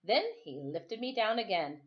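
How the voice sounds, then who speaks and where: A woman reading a story aloud for children as a storytime teacher, expressive and informal. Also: mid-range voice, moderate pace, highs cut off.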